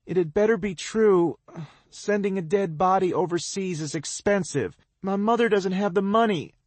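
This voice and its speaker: A man narrating an audiobook, voicing an injured young woman who is tearful, panicked and sharp-tongued. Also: normal speed, no echo. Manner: shrill, tearful and sobbing.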